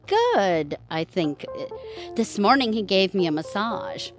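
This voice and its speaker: Lively, wisecracking wife over music. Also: female voice, mid-range voice, takes it slowly. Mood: wry, chatty. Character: wry, scheming middle-aged married woman. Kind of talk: scripted audio theatre comedy acting.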